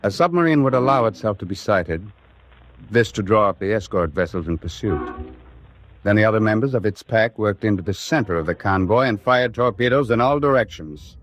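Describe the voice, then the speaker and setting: A man delivering measured, formal narration in a dramatic documentary style. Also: on the low side, lively intonation, faint music behind.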